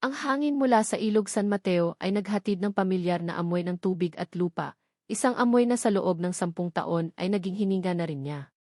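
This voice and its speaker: A woman's voice: fairly low pitch, fairly quick, a little mellow, no echo, clean studio sound. A woman with a Filipino accent reading a scripted story as a dramatic narrator.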